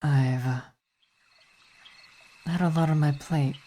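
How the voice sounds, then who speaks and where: Very low, slow vampire wife voiced by a woman. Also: slight background noise. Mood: apologetic, tired. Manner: measured, hesitant, formal wording. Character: vampire wife, weary coven commander, tender yet imperious. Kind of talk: intimate ASMR roleplay acting. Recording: steady night insect ambience behind voice.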